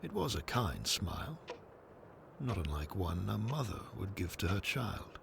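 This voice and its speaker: A man's voice: sits low, very animated, unhurried, vocal fry, background noise. A man reading scripted, storybook-style narration for an audio drama.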